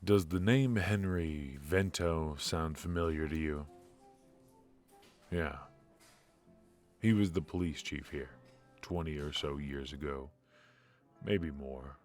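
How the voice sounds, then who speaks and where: A man playing a suave, ruthless mafia boss in a noir audio roleplay, confiding in a measured, intimate, smug way with hesitant pauses. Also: rumbling low, a little mellow, faint music behind. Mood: confiding, grave. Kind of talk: noir ASMR roleplay monologue.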